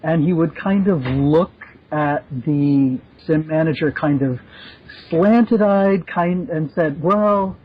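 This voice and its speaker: Higher-voiced man, slightly dull noisy recording. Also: slow pace. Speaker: podcast co-host. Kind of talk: casual animated storytelling. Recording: dull sound with faint noise.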